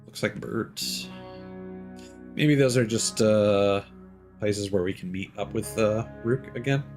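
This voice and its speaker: A man's voice: lowish voice, slow. A man streaming a video game, giving rambling, offhand commentary with lots of fillers and casual swearing. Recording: game music playing behind the voice. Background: game soundtrack guitar music.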